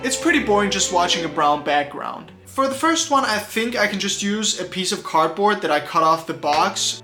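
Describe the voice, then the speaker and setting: A man who streams, narrating a vlog in a casual, self-deprecating way. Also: sits high.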